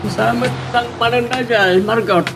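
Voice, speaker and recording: A man's voice: very high-pitched, fairly even intonation, moderate pace, creaky, over music. A man playing an agreeable, supportive friend in an Ilocano radio drama.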